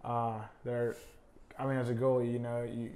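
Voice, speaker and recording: A young college soccer player from Honduras, a native Spanish speaker, talking casually in an interview with many fillers. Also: male voice, medium pitch, normal speed. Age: young adult. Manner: relaxed, many fillers like "I mean" and "uh".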